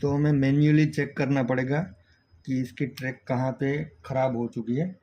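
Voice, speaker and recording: The recording is slightly muffled with faint noise behind it. A man with an Indian accent and a mid-pitched voice teaches a hands-on repair lesson. He explains each step and regularly checks in with a short tag word. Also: flat delivery, moderate pace. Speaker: auto electrical repair instructor. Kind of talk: hands-on technical tutorial. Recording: dull lo-fi sound, faint background noise.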